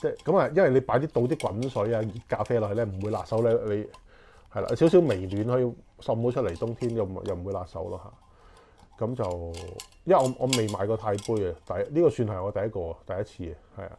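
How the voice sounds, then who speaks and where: A man in his forties speaks with a Hong Kong Cantonese accent, his voice sitting fairly low. He chats loosely and thinks aloud as he unboxes gear, with plenty of fillers. A lapel mic picks him up in a small living room, over a faint hiss. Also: fast talker, warm tone. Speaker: camping gear reviewer. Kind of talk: casual unboxing talk. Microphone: clip-on lapel mic.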